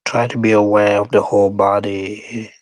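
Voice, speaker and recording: A man teaching guided meditation in short, unhurried phrases with pauses and non-standard English grammar. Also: on the low side, flat delivery, a little mellow, no room sound.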